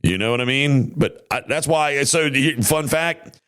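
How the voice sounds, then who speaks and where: A man's voice: mid-range voice, a little slow, a bit bright, clear, resonant tone. A man hosting a podcast in casual chat, his voice tired and worn, rambling in a folksy way with many restarts. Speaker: podcast host. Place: home studio.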